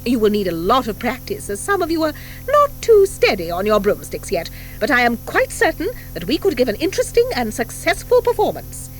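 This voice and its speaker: A woman voicing a stern, formidable schoolmistress in an audiobook, speaking crisply, precisely and with command. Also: on the high side, wildly swooping pitch, fairly quick, soft background music, voice pushed up, rough recording. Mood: authoritative. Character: stern, formidable, brisk schoolmistress at a witches' academy. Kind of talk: audiobook character acting. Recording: 1984 audiobook from cassette.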